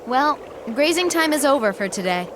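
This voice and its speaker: A woman's voice: sits high, animated, normal speed, background noise. A young woman acting a spirited, straight-talking goatherd in a video game cutscene. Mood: breezy, confident.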